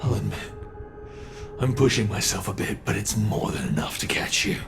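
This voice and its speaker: A man's voice: on the low side, moderate pace, vocal fry, music in the background. A man voicing a smug, predatory male monster who teases the prey he is hunting, in an ASMR horror roleplay. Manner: teasing, taunting.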